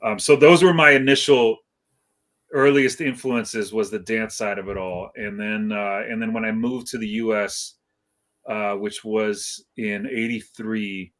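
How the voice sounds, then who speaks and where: Laid-back lower-voiced man from Iceland. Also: steady tone, a little slow, a bit bright. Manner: laid-back, modest, many fillers and restarts. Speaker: guest DJ. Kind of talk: casual interview chat.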